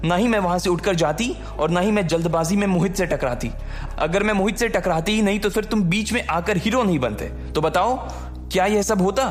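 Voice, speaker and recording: Guy doing an upset college girl, high voice, sharp n accusing, emotional audio drama, music behind. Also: brisk, natural voice. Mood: angry, accusing. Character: distressed, angry young college woman, tearfully scolding. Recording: background music under the voice.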